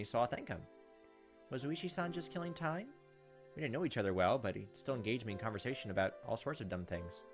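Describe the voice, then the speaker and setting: A man hosting a let's play, reading game text aloud and adding wry, casual commentary. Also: medium pitch. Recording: faint game music behind the voice.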